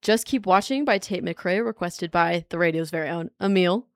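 A woman's voice: fairly low pitch, normal speed, dry recording. A young woman in her twenties hosting a casual college radio show, bubbly and slangy, laughing often, close on a studio mic. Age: 20s. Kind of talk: casual chat.

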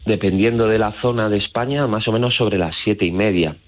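A man's voice: on the low side, fairly quick. A man from Madrid with a central Spanish accent explains things step by step as a guest in an informal radio interview, speaking over the phone. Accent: central Spain. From Madrid, Spain. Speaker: astrotourism guide, phone guest. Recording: dull lo-fi phone call.

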